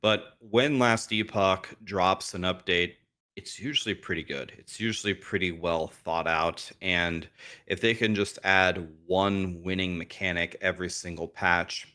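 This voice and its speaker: A man who is a guest on a podcast, talking casually and frankly while his voice is going hoarse. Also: low voice, fairly even intonation, a little slow, sharp tone. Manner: frank, thinks aloud with restarts. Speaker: guest streamer.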